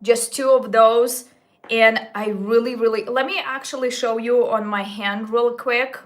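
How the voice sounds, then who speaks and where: Slow-talking woman gushing about makeup. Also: on the high side, fairly even intonation. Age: 30s. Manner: chatty and gushing, with slips and restarts. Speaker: beauty vlogger. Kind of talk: casual makeup talk to viewers.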